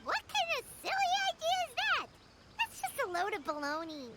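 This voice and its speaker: A woman voicing a tiny, excitable, childlike fairy companion with a squeaky voice in a video game cutscene. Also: moderate pace, very noisy, exaggerated voice. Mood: sympathetic, incredulous. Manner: speaks of herself in third person, exclamatory. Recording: game music behind the voice.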